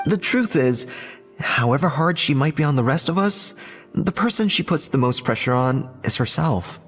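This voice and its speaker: An actor playing an earnest, slightly anxious cook. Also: male voice, on the high side, expressive, normal speed, fairly bright tone. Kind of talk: dubbed game dialogue. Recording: game audio with music under.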